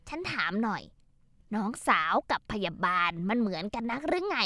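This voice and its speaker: A woman's voice: a little high, big pitch swings, normal speed, pushed and bright, dry recording, clean studio sound, heavily acted. An actress playing an older mother-in-law who grumbles sarcastically in a dubbed drama. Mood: resentful.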